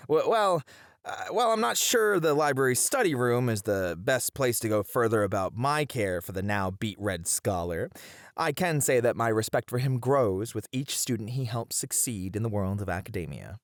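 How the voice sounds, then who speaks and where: A man playing a passionate, playful college teacher with dramatic, expressive phrasing in an ASMR roleplay. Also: mid-range voice, moderate pace, no room sound, clean studio sound, close to an everyday voice. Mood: playful, admiring. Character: flirty, theatrical, passionate male college teacher.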